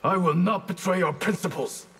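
A man's voice: sits high, moderate pace, a bit bright, slightly rough, heavily acted. A man playing a stern, honor-bound ninja clan leader with a solemn, formal delivery in a fighting game. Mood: grim, defiant. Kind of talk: dramatic fighting game dialogue. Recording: reverberant hall-like game mix.

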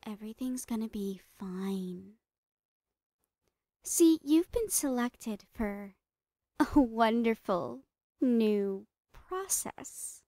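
A psychotic lady doctor played by a woman in a horror ASMR roleplay, with a fairly high voice that slips into breathy whispers. She drags out her words very slowly, sweetly soothing and giggly with menace underneath. The sound is close and very dry. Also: lively intonation, mellow, dry recording, exaggerated voice. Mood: falsely sweet. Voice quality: breathy, often dropping into whispers. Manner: soothing, giggly, drawn-out words, falsely reassuring. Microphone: close microphone, dry.

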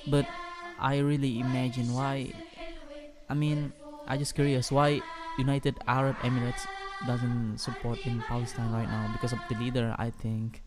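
A young man, hosting a casual video chat on a boom-arm studio mic. He asks quick, friendly questions and mixes in Arabic phrases. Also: flat delivery, darkish tone, soft background music. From Indonesia. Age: 20s. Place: small indoor room. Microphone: studio mic on boom arm, headphones.

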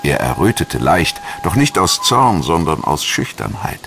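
Very deep-voiced male documentary narrator. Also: sing-song, a little slow, vocal fry, noisy background. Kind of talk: scripted history documentary narration.

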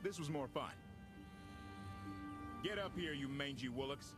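A man's voice: on the high side, a little slow, loud music behind the voice, close to an everyday voice. An actor playing a cocky, irreverent male starship pilot in a sci-fi game, sardonic and breezy. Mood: boastful, playful.

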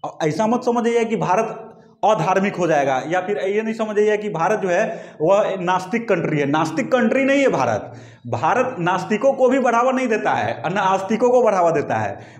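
A man in his thirties with a Bihari accent, giving a colloquial, repetitive explanatory lecture as a teacher who addresses students directly. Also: high-pitched. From Bihar, India. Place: small indoor classroom with whiteboard. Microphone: lapel mic. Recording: echoey room.

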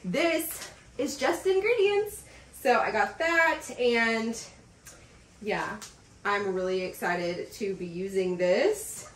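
A woman's voice: medium pitch, takes it slowly, far from the mic, a little hiss or hum. Bubbly woman vlogging in a roomy office. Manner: bubbly and gushing, lots of fillers. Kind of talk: casual vlog chat, unboxing packages. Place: home office, indoors. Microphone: camera across a desk. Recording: roomy sound from off the mic.

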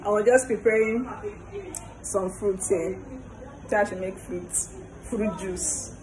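A woman's voice: mid-range voice, takes it slowly, a little mellow. A young woman with a Nigerian accent chatting casually to a phone in a kitchen as a cooking vlogger. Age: young adult. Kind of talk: casual vlog chat. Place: small kitchen at home, indoors. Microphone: phone at arm's length. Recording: noisy room ambience.

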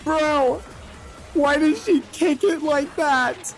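A woman's voice: high voice, slow. A young woman co-hosting a casual reaction video, exclamatory and slangy, often repeating her words. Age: young adult. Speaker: reaction channel co-host. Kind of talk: excited casual reaction commentary. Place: home room, indoors. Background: faint music from the video being watched.

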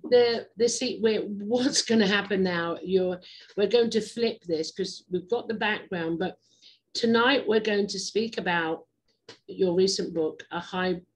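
A woman hosting an interview show, chatty and digressive, quick to laugh. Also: mid-range voice, rough recording. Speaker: host. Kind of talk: casual interview chat.

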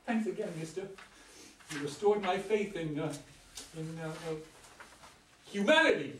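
A man's voice: high voice, unhurried, fairly bright tone. An older man reading the part of a talkative, folksy old ex-convict hitchhiker in a staged script reading. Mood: wry, insistent. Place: meeting room with long table, indoors. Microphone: far camera. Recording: roomy, distant mic, some ambient noise.

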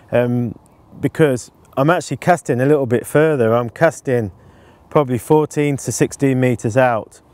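A man in his forties explaining his canal fishing setup in a relaxed, casual way, with frequent ums, recorded outdoors on a lapel mic. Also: mid-range voice. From England. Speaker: angling presenter. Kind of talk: casual instructional talk to camera.

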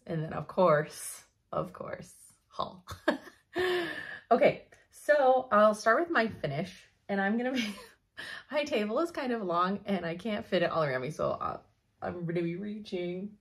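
A young woman giving a chatty cross-stitch update from her home craft room, her voice slightly hoarse, laughing often as she thinks aloud. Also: mid-range voice, expressive, a little slow. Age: young adult. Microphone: camera in front at desk.

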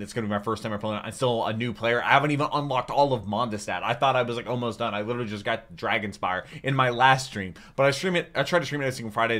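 A man's voice: medium pitch, a bit bright. Enthusiastic young man reacting casually. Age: young adult. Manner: informal, rambling, enthusiastic. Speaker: reaction video host. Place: small room at home, indoors.

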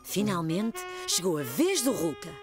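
A woman narrating a children's cartoon in European Portuguese with gentle storytelling. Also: medium pitch, wildly swooping pitch, unhurried. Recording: children's music playing behind.

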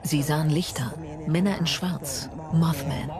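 A woman's voice: low voice, measured pace. An actress voicing a female eyewitness who recalls eerie sightings in for a documentary dub. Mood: ominous. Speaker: documentary interviewee voice-over. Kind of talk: dubbed documentary account. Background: loud music.

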